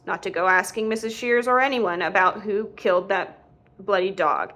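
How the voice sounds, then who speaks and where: A woman's voice: medium pitch, fairly bright tone, natural voice. A woman voicing a literal-minded, earnest boy who defends himself matter-of-factly in an audiobook. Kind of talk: audiobook character dialogue.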